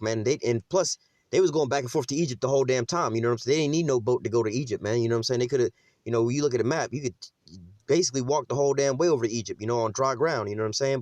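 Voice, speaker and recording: A man preaches from scripture in quick, slangy bursts, dropping "man" at the end of nearly every thought. He slows down to read the verses aloud before explaining them. The sound is close and dry, with no room echo. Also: medium pitch, a little mellow. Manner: casual slang, frequent "man" and "you know what I'm saying"; reads verses slowly, then explains them. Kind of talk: street-style scripture teaching.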